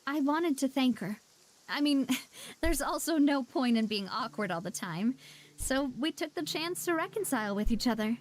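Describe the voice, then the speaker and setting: A woman playing a poised, spirited young lady in an anime game, speaking in a warm, candid, reflective way. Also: high voice, lively intonation, moderate pace. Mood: friendly, confiding. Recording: faint music behind the voice.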